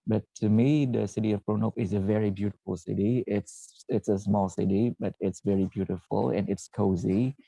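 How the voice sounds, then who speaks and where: Man from Vietnam, very low gravelly voice, mellow dark tone, presentation, halting with fillers. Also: fairly even intonation, no echo, low-quality recording. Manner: halting, frequent "uh" and self-corrections. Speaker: former PhD student presenting. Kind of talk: presentation to students.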